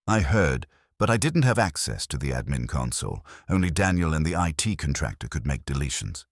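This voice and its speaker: A man's voice: very deep voice, very animated, a little fast, no echo, studio quality, heavily acted. A man voicing a cool, guarded professional woman in a detective audiobook, with clipped, composed, precise speech. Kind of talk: detective audiobook character dialogue.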